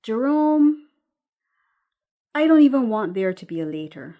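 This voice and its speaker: A woman's voice: fairly high pitch, very animated, measured pace, mellow. A woman voicing a defiant, fed-up thirty-year-old woman, blunt and sarcastic, in a dramatic script reading. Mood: hurt, angry.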